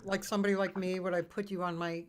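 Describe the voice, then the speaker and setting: A woman with a slightly low voice hosts a relaxed, casual chat. She feels her way through sentences with hesitant phrasing that tends to trail away. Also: fast talker. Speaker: interview host, musician.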